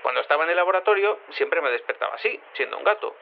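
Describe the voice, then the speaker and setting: A man's voice: fairly high pitch, lively intonation, quick pace, gravelly. An actor playing a confused male cat turned human, hesitant and stammering, rambling as an interviewee in an audio drama over a telephone line. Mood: confused, explanatory. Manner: hesitant, stammering, self-contradicting. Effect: telephone filter. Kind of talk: audio fiction acting as an interviewee. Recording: tinny phone line with noise.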